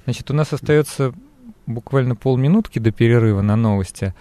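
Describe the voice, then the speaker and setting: A man's voice: medium pitch, a little fast, warm tone, no echo. A man hosting a radio interview from a studio, relaying listener questions and talking conversationally with his guest. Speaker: radio host and programme author.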